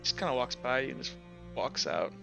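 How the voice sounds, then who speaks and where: Bright-voiced, casual male game master. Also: mid-range voice, lively intonation, gravelly, highs cut off, soft background music. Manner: casual, halting, says "like" and "kinda" constantly. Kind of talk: improvised tabletop roleplay narration.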